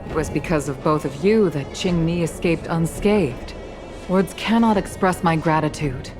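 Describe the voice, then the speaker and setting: A woman acting as a dignified commander and protective mother in a video game. Her voice sits fairly low for a woman and her wording is formal and measured. Music plays clearly behind her. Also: natural voice. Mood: gracious, formal.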